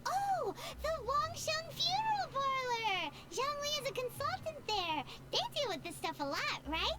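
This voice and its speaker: Squeaky, fretful little fairy girl. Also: sing-song, unhurried, bright, forceful tone, heavily acted. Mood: surprised. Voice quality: squeaky, childlike. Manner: fretful, exclamatory, speaks of herself in third person. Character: tiny excitable, nervous floating fairy companion, childlike female. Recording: game audio with background noise.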